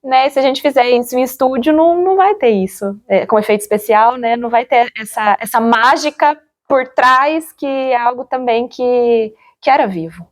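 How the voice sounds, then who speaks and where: A woman's voice: on the high side, moderate pace, few pauses. A young woman with a Brazilian accent talking casually and reflectively in an interview, chatty, often repeating words for emphasis. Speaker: former radio drama actress, interviewee.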